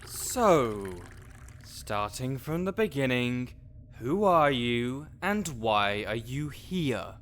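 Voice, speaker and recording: A man's voice: mid-range voice, sing-song, very slow, a bit bright, a little hiss or hum, full character voice. A man playing a grouchy, fussy necromancer researcher in an audio roleplay, sarcastic and wordy as he speaks to the listener. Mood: dry, explanatory.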